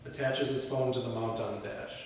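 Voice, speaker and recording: A man narrates a screenplay read-through, giving scene headings and action. His mid-pitched voice is far from the mic in a room with long, heavy echo. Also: fairly even intonation, brisk. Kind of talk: reading screenplay directions aloud. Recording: echoey hall from far off, faint noise.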